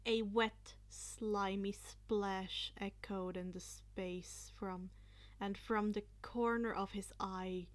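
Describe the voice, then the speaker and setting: A woman reading fan fiction aloud as scripted narration, in a dramatic, deliberate manner. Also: mid-range voice.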